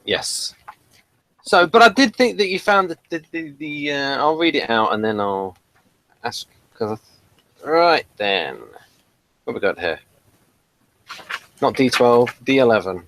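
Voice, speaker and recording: A man hosting a review discussion, reading a summary aloud and chatting, sometimes haltingly. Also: mid-range voice, sing-song, fairly bright tone. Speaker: podcast review host.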